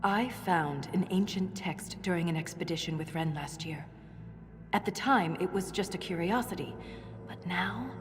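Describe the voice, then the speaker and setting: An actress playing an earnest dwarven scholar in a video game, speaking in a measured, scholarly way. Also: female voice, on the low side, lightly acted. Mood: intrigued. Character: earnest female dwarven scholar exploring underground ruins. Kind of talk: video game cutscene acting. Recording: long cavernous reverb, music underneath.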